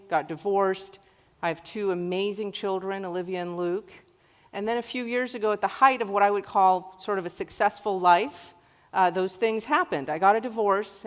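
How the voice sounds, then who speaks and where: Woman speaker in a reverberant hall. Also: mid-range voice. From Iraq. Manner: earnest, warm-hearted storytelling. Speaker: guest speaker. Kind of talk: personal talk to an audience. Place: large hall with an audience.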